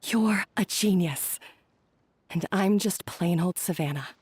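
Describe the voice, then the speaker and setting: A breathy, unsure young chef. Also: female voice, on the low side, takes it slowly, darkish tone, dry recording, heavily acted. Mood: doubtful. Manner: hesitant, doubtful. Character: insecure young female head chef, self-doubting. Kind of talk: game cutscene voice acting.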